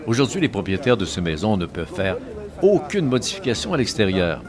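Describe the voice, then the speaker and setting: A man with a fairly low voice narrates a travel show as a voice-over. His intonation moves up and down a lot, and music plays clearly behind him. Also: sing-song, brisk. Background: background music.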